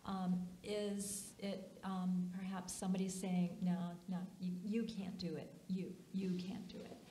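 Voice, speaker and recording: A woman's voice: on the low side, flat delivery, a little slow, warm tone, slight background noise. A woman entrepreneur on a stage panel, asking a string of probing questions. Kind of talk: panel discussion. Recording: echoey auditorium.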